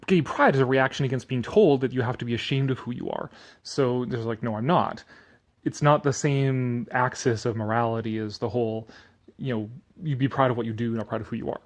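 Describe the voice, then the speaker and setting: A man giving a sardonic commentary and theatrical reading of an article, drifting into rambling asides. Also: medium pitch.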